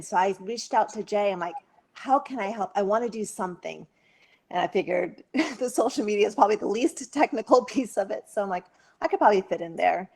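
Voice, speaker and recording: A woman talking casually on a video call, her voice pitched in the middle. She is chatty, laughs often and leans on fillers as she talks. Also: darkish tone. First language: English. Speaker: social media volunteer. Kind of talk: casual conference talk.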